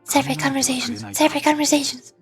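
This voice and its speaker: Female game streamer, high voice, playful asides, light music underneath. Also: flat delivery, quick pace. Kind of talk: livestream game playthrough, reading dialogue aloud and chatting.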